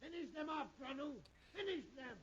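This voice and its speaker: High-pitched villain prince, old radio serial. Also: male voice, normal speed, dull audio, heavy background noise. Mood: gloating, vicious. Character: evil villain prince barking gleeful orders at henchmen. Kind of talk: 1950s radio serial acting. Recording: old radio drama recording, loud background voices.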